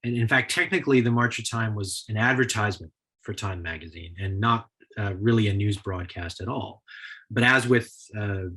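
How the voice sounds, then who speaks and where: Guy giving a history talk, lowish raspy voice, measured explaining. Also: bright, forceful tone, poor audio. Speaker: author giving a history lecture.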